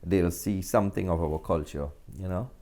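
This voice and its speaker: A man from Guyana with a Guyanese accent talks casually as an interview guest, often pausing mid-sentence. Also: low-pitched, a little mellow. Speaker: interview guest, actor and filmmaker.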